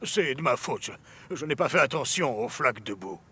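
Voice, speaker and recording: Mid-pitched, mild cartoon visitor. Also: male voice, animated, moderate pace, bright, forceful tone, husky. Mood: apologetic. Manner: polite, mild. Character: courteous, apologetic male photographer visitor. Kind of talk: children's cartoon dub.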